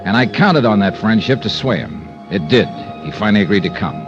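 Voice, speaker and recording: An actor playing a hard-boiled, dryly sardonic American investigator, performing and narrating a vintage radio detective drama. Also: male voice, fairly low pitch, animated, brisk, music in the background, natural voice. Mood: tense narration. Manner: dry, sardonic. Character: wisecracking hard-boiled freelance insurance investigator, cool under threat. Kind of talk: 1950s American radio drama acting and first-person narration. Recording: muffled old radio recording.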